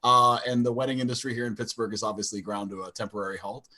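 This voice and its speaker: Bright-voiced man, dryly jokey. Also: mid-range voice, steady tone, quick pace. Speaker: guest, wedding DJ. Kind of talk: casual chat on a group video call. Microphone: video call.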